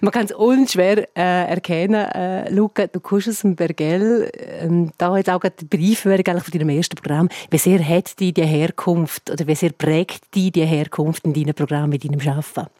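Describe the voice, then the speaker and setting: A woman's voice: lowish voice, steady tone, normal speed, few pauses, mellow, no room sound. Woman interviewer, dry close sound. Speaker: host interviewing a cabaret artist. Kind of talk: conversational radio interview.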